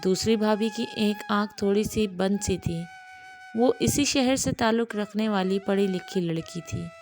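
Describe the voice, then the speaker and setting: A woman storyteller narrating a scripted domestic tale in an unhurried, measured manner. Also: mid-range voice, darkish tone, soft background music. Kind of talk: scripted audio storytelling in Urdu with acted dialogue.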